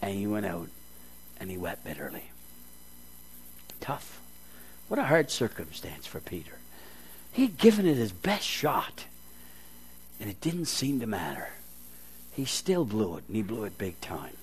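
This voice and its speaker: A man preaching a storytelling sermon, acting out scenes with folksy asides and swinging from loud emphasis to hushed, quiet lines. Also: on the low side, huge pitch swings, a little slow, pauses often, husky, rough recording.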